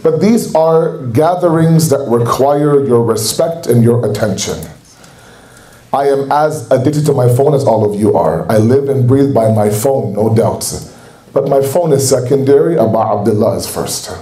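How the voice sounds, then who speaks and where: Man, Canadian accent, earnest preaching tone, mellow voice, roomy hall echo. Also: medium pitch, animated, normal speed. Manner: earnest, exhorting. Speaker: speaker at a religious gathering. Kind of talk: sermon. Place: large hall at a community center. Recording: echoey hall.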